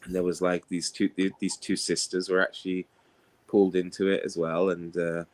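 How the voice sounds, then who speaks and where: Casual male talker, low register, loose anecdotal delivery for an informal book discussion. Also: level tone, moderate pace. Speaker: participant in an online book discussion. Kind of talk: casual chat, anecdotal storytelling.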